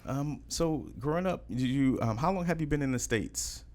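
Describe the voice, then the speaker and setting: A man's voice: fairly high pitch, animated, normal speed, a little mellow. A man hosting a casual interview, informal and affirming, feeling his way into his questions. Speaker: podcast host.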